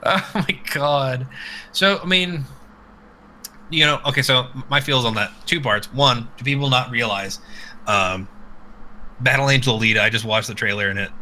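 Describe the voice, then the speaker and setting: Bright, animated man chatting on a podcast. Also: mid-range voice, moderate pace, a little hiss or hum, rough recording. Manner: animated, sweary, rambling. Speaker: podcast host. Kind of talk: casual opinionated live chat about games.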